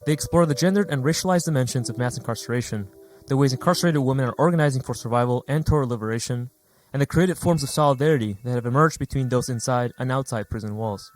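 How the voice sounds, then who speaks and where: A man's voice: medium pitch, fast talker. A man presenting a radio segment, reading a scripted introduction.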